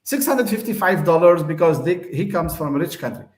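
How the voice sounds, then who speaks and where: A man from Tunisia hosts a casual chat, explaining things with plenty of uhs and false starts. His voice sits a bit high for a man. The room around him rings with echo. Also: animated, brisk. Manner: hesitant, many fillers and restarts. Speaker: host.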